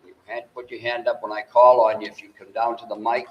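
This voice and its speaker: An older man moderating a town meeting, folksy and halting, often repeating words as he lays out the rules. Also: medium pitch, level tone, muffled recording. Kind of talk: addressing a public meeting. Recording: distant mic, faint room noise.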